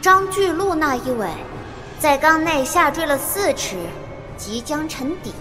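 Court seer for a wuxia drama: high voice, formal, measured, composed, in a reverberant space. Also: female voice, animated. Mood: solemn. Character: composed seer reporting omens to the empress. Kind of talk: Chinese audio drama acting. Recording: long reverb, music behind.

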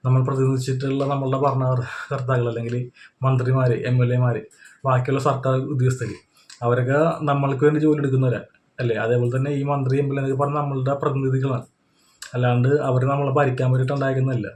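Fast, even-toned man commentating. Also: mid-range voice, slight background noise. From India. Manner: repetitive, explanatory, checks with listener using tag questions. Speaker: YouTube reaction commentator. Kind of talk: opinion commentary, unscripted.

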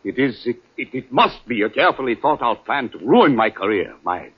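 An actor playing an agitated, formal doctor and aggrieved husband in a 1940s radio drama, halting and overwrought. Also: male voice, mid-range voice, sing-song, breathy edge. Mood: distressed. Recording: dull 1940s radio recording.

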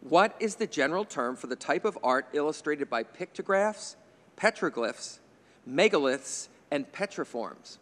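Joking middle-aged quiz host, reverberant. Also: male voice, a little high, lively intonation, unhurried, fairly bright tone. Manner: chatty, jokey asides, chuckles at his own remarks. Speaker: quiz bowl moderator. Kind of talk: reading quiz questions with light banter. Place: indoor TV studio stage. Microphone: desk microphone on a stand.

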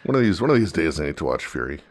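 A man with a North American accent, co-hosting a casual podcast in a hesitant, measured way. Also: a bass voice, big pitch swings. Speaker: podcast co-host. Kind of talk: casual fan podcast chat.

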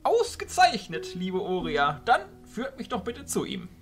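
An old illusionist dignitary acted by a man speaks with flowery courtesy and deliberate ceremony. The voice is pitched high for a man, over soft music in a fantasy audio drama. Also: huge pitch swings, heavily acted. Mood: courteous. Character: old, courteous male illusionist arch-chancellor.

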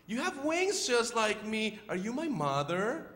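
A man voicing a small, lonely baby bird in a children's storybook, pleading in drawn-out exclamations. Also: very high-pitched, very animated, fairly bright tone, pitched above the performer's own voice, full character voice. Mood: hopeful, pleading. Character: little lonely baby bird searching for a mother, plaintive. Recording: echoey hall.

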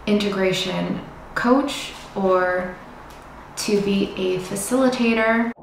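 A young woman from the United States chats to camera in an easy, informative way, with a gravelly fry running through her voice. She is recorded on a camera mic in a bright indoor room that rings with strong echo, and a faint hiss sits underneath. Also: medium pitch. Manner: chatty and informative, with fillers. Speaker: vlogger, psychedelic research student. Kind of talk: casual talk to camera.

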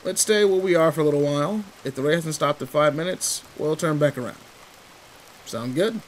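A man's voice: on the high side, a little fast, slight background noise, natural voice, rough recording. A man playing a tall, protective, secretly sweet teenage delinquent in an intimate roleplay audio drama, talking in casual, teasing slang. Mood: reassuring. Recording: steady rain ambience behind voice. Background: rain in a forest.

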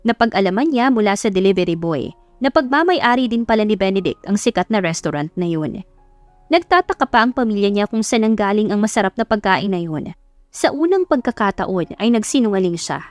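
A woman's voice: medium pitch, darkish tone, dry recording. A woman with a Filipino accent reading a scripted story as an audiobook narrator.

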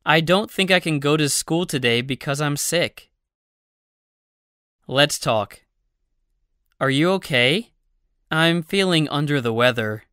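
This voice reads numbered study phrases at a very slow, deliberate pace, leaving clear space between each line. The tone is bright and crisp. It is recorded close and dry, with no room sound. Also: mid-range voice, no room sound. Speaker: narrator of a language-learning audiobook. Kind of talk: slow scripted phrase reading for learners.

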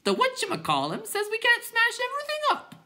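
A manic little butterfly clown from a storybook, squawks in a very high, bright voice. The delivery is sing-song and wildly swooping, full of cheeky mockery and gleeful defiance. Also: wildly swooping pitch, quick pace, exaggerated voice. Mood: mocking, shrill. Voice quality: shrill, squawking.

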